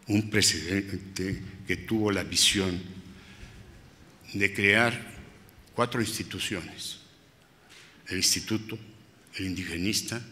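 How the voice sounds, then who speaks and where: A man in his eighties, born in Spain, speaking on a panel into a table microphone, reflective and halting, with pauses and self-corrections. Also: on the low side, sharp tone. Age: 80s. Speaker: restorer and panelist. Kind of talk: panel talk, personal reminiscence. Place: large museum hall with audience. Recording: hall reverb from public-address room.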